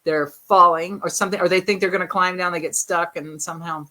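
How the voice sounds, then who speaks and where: Rambling American woman, bright-voiced, lowish pitch. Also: steady tone, brisk. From United States. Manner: rambling, thinks aloud with restarts. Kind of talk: casual group chat.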